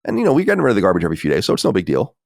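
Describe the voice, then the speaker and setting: A low-pitched man in his forties, talking in a lively, swinging voice as he co-hosts a casual podcast. He reads listener letters aloud and answers them bluntly, sighing and swearing along the way. The sound is dry and close, with no room echo. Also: racing, vocal fry. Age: 40s. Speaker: podcast co-host reading listener mail. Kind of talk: casual chat.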